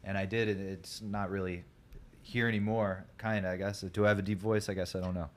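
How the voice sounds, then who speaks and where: A young man from Ohio with a deep voice, a podcast guest telling his story in a rambling, laid-back way full of fillers. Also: level tone, fairly quick. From Columbus, Ohio. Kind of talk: casual podcast chat.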